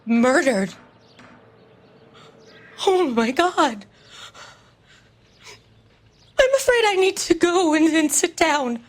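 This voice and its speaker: Prim, high-voiced neighbor. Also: female voice, sing-song, full character voice. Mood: shocked. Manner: prim, measured, disapproving. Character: prim, gossipy neighbor woman, a 1940s mother. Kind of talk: period crime game acting.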